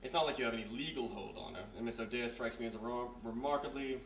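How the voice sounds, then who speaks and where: Slightly distant in an echoey room, a man plays an authoritative police major for a radio mystery. He talks quickly in a gruff, officious way at an ordinary male pitch. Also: fairly bright tone, close to an everyday voice. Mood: measured. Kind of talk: 1940s radio mystery acting, live on stage. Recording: echoey live room, distant mic, faint noise.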